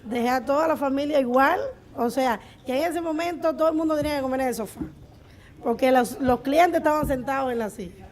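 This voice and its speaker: A woman's voice: high voice, a little fast, a little mellow. A woman with a Cuban accent speaking unscripted as a restaurant owner on a panel of entrepreneurs. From Cuba. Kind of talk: unscripted panel storytelling and answers.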